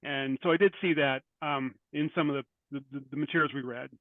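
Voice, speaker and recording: A man speaking as a writer guest in an interview, thoughtful and halting, often repeating words as he goes. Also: medium pitch, fairly even intonation, dry recording, phone-quality sound. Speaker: guest, short fiction writer. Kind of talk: interview conversation.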